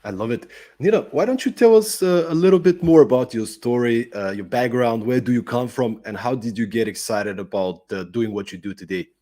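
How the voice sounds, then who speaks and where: A man's voice: mid-range voice, a little fast, dark tone. A man hosting an interview in a casual, effusive way, often repeating words as he starts. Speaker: podcast host.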